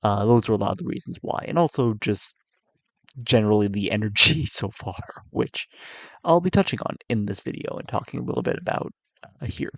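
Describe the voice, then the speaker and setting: Young male vlogger, tired and a bit sick, low raspy voice, halting confessional delivery, dry close mic. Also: expressive, no room sound. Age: 20s. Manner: hesitant, soft-spoken, many fillers and restarts. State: tired, coming down with a cold. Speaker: YouTuber. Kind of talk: candid confessional vlog.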